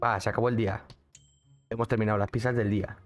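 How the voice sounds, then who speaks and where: A man's voice: on the low side, level tone, fast talker, faint music behind. A young man with a Mexican accent, streaming a game and chatting in a casual, jokey way while answering his chat. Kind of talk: casual gaming commentary.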